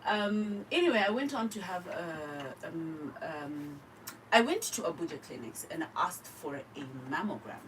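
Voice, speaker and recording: A Zimbabwean woman speaks in a lowish voice with her accent clear in every phrase. She tells her own story candidly and with energy, acting out the people she quotes. The studio mic catches her from a little distance, over a faint hiss. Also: big pitch swings, unhurried, fairly bright tone. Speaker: radio guest, cancer survivor. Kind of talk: personal story told in a radio interview. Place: radio studio.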